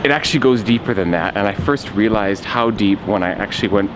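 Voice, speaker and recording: A man in his thirties with a lowish voice talks straight to camera, separating his words and enunciating carefully. A loud hum of air conditioning and background noise sits under him. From Wisconsin, United States. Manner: slow, deliberately enunciated speech with clear separation between words. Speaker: YouTuber living in Japan. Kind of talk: casual talking-head vlog.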